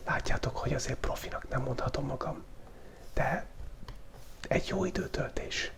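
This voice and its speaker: A man hosting a relaxed ASMR drawing video, whispering in a loose, playful way and thinking aloud as he sketches, close to the microphone. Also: medium pitch, vocal fry. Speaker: channel host. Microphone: close studio microphone picking up pen tablet.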